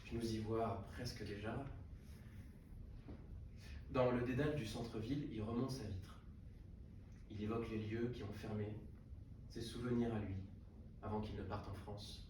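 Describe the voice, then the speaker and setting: A man reading a literary novel aloud at a book prize event, unhurried and with frequent hesitations and restarts. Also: fairly low pitch, fairly even intonation. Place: salon of a literary hotel. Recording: echoey room, distant mic, background noise.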